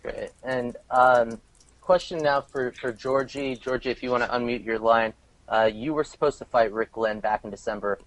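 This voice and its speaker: A man's voice: mid-range voice, fairly even intonation, moderate pace, no room sound, rough recording. A man working as a reporter, politely putting questions to guests on a press conference call.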